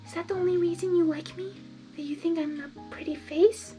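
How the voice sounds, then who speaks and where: A woman voicing a gentle, shy young female pegasus pony with a soft, timid manner in a storybook reading. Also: very high-pitched, fairly even intonation, fairly quick, soft background music, voice pushed up. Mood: shy, questioning.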